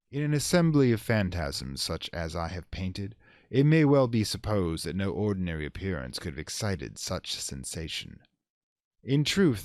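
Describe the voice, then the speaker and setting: A deep-voiced man gives a dramatic reading of gothic horror. His delivery is solemn and theatrical, swelling and falling widely in pitch with measured pauses. The sound is close and dry. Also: a little mellow, dry recording. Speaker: narrator.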